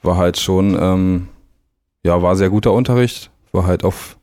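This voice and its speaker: Young man, deep and warm, casual radio guest telling stories with easy laughter. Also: a little slow, no echo, clean studio sound. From East Berlin, grew up in Brandenburg. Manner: relaxed, laughs often, anecdotal. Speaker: drummer, interview guest.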